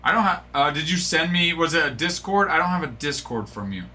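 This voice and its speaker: A middle-aged man hosts a live card-break stream, rambling casually and answering his viewers. His voice is bright and a touch high, with a faint hum in the background. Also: lively intonation, brisk. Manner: rambling, chatty, talking to chat viewers.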